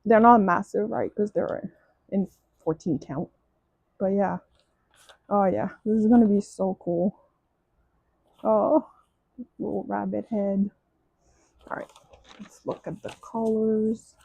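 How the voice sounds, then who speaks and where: Chatty French-accented woman, gravelly low-key voice. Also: fairly even intonation, slow, long pauses, warm tone, dry recording, muffled recording. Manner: chatty, gushing, frequent restarts and "um"s. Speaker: crafting vlogger. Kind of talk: casual unboxing chat.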